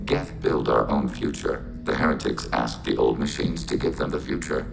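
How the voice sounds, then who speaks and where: A man playing a calm, literal, emotionless robot with a collective mind in a video game, speaking in flat, clipped statements with a machine-like sound. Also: very deep voice, normal speed, vocal fry, over music, exaggerated voice, poor audio. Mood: detached, factual. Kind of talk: scripted video game acting.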